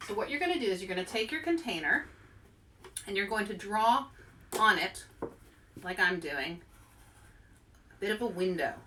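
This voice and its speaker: Bright woman, a little off the mic. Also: mid-range voice, normal speed, pauses often, far from the mic, a little hiss or hum. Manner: animated, expressive delivery to young listeners. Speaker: children's author and host reading aloud. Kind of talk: children's read-aloud and craft demonstration.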